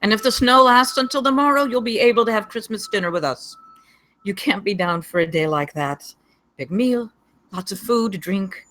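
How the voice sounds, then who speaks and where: A woman's voice: mid-range voice, moderate pace, pushed and bright, lightly acted, poor audio. A woman playing a loyal, stern household servant, guarded and curt, in a gothic drama table read. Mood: comforting.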